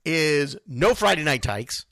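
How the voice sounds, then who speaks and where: Bright, forceful man hosting sports talk. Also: a little high, animated, slow pace, vocal fry, no room sound, clean studio sound. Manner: blunt, emphatic, repeats phrases for stress. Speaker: youth football radio show host. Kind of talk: informal sports talk monologue.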